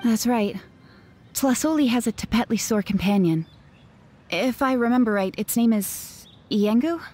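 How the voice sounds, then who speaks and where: An actress plays a composed young woman adventurer in a video game. The voice is fairly high and matter-of-fact, with faint music underneath. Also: normal speed, warm tone, natural voice. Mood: puzzled, thoughtful.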